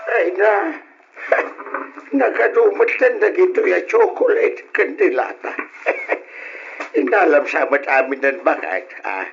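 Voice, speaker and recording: A man's voice: sits high, huge pitch swings, slow, creaky, exaggerated voice. An actor playing an easygoing older husband and father in an old-style radio drama, with an Ilocano accent. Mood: gleeful. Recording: dull, noisy lo-fi radio sound.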